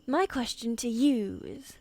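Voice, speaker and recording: Higher-voiced commanding queen, acted by a woman. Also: animated, measured pace, warm tone. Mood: confident, challenging. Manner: imperious, intense. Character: commanding, fierce young queen, protective of her husband. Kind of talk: fantasy audio roleplay acting.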